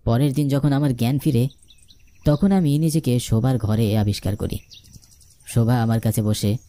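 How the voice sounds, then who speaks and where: Guy narrating a spooky story, mellow gravelly voice, suspenseful, medium pitch. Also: fairly even intonation, moderate pace. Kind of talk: first-person scripted ghost story narration.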